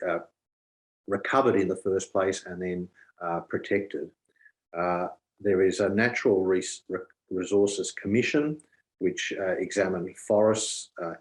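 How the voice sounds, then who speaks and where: A man answering haltingly as a candidate at an online forum. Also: sits low, darkish tone, poor audio. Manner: halting, hesitant delivery. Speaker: election candidate. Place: online video call.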